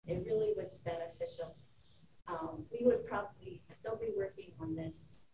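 Teacher, female, lively pitch, distant roomy recording. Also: moderate pace. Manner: earnest, thankful, lively. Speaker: teacher presenting. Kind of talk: presentation to a school board. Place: school meeting room. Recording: roomy, distant mic, clear background noise.

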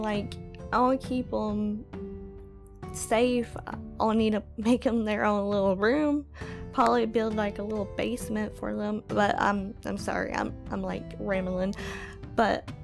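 A woman hosting a game roleplay, improvising casually in a halting, apologetic, rambling way. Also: a little high, creaky. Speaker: gaming roleplay host.

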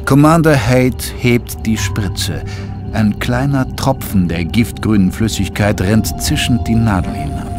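A man's voice: lowish voice, unhurried. A man narrating a scripted children's audio drama as its storyteller. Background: music.

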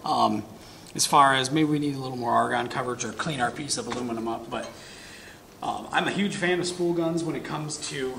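A man's voice: medium pitch, brisk, creaky, background noise. A man in his thirties giving a casual hands-on welding equipment demonstration in a shop, talking as he works. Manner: casual, talks while working with his hands. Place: welding shop corner with curtain backdrop.

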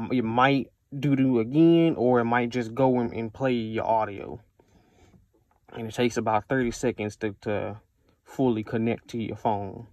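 A man's voice: on the low side, a little mellow, dry recording. American man, casual reviewer, dry close sound. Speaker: product reviewer. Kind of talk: casual unscripted product review.

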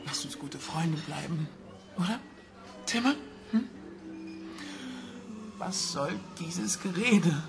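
An actress playing a brooding woman in a German radio crime drama, breathy and sometimes nearly whispering. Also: lowish voice, animated, slow pace, pauses often, music in the background, heavily acted. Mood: bitter.

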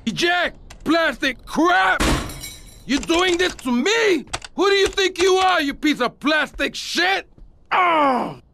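A middle-aged drug lord from a crime game, very high in pitch and wildly swinging. He rants slowly and theatrically, short-tempered and paranoid, over faint noise. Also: expressive, creaky, bright, forceful tone, full character voice. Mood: furious. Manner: explosive, theatrical ranting. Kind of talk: video game cutscene acting.

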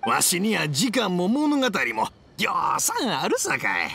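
A man voicing a good-natured, hard-of-hearing old fireworks craftsman with a Kansai accent and a gravelly, folksy delivery in a Japanese game dub. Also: high voice, wildly swooping pitch, moderate pace, bright, forceful tone, exaggerated voice. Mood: genial. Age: older. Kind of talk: Japanese anime game dubbing.

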